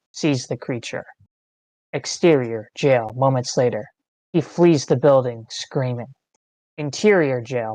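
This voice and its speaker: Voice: medium pitch, a little mellow, no echo, low-quality recording. Stage-direction narrator: terse dramatic phrasing, scripted table read, close dry sound. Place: online meeting, close dry mic.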